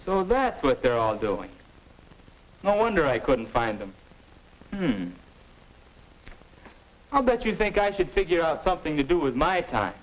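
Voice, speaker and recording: Earnest, higher-voiced American teenage boy. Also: very animated, measured pace, pauses often. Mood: pondering. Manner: earnest, questioning, stagey. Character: restless, doubting American teenage boy named Ken. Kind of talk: 1950 educational film acting. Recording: noisy 1950 film soundtrack.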